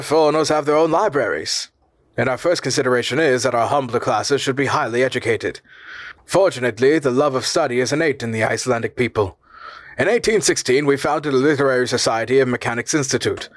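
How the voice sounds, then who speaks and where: A man's voice: mid-range voice, fairly quick, dry recording, lightly acted. A man voicing a courteous, proud Icelandic male professor in an audiobook, speaking formally, earnestly and eloquently.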